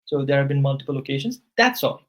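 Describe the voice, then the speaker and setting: A man's voice: mid-range voice, animated, fast. A man working as an English tutor, patiently giving corrective grammar feedback in a one-on-one session.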